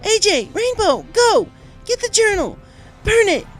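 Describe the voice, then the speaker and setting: A woman's voice: very high-pitched, sing-song, measured pace, faint music behind, heavily acted. A woman voicing a fierce, righteous female pony spirit, shrieking her lines in a dramatic story reading. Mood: furious. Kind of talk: dramatic fan fiction audio reading.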